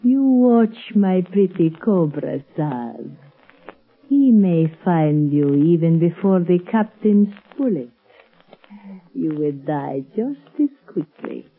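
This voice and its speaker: An actress playing an exotic, coolly menacing cobra-charming villainess in formal, deliberate, taunting 1930s radio drama style, on a muffled old recording. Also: female voice, lowish voice, expressive, husky, heavily acted.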